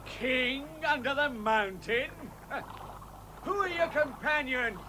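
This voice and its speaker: An officious gate captain in a vintage radio play. He calls out challenges and orders in a very high, sharp voice, drawing the words out very slowly over faint noise. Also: expressive, heavily acted, low-quality recording. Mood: suspicious. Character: officious, suspicious male town guard captain. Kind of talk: 1960s radio drama acting.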